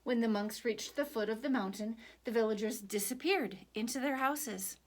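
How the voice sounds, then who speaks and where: A woman narrating a picture book for children at storytime, deliberate and expressive, pausing between phrases. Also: fairly high pitch.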